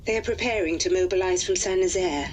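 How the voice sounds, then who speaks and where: An actress playing a serious, businesslike wartime officer giving a formal, clipped mission briefing in a game. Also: female voice, on the low side, fairly quick, background noise, lightly acted. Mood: grave, businesslike.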